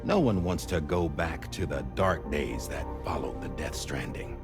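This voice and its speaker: A deep-voiced, grave, eloquent director. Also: male voice, music in the background. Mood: resolute, rousing. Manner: measured, theatrical oratory. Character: grave, eloquent middle-aged male director, idealistic and resolute. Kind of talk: dramatic game cutscene monologue.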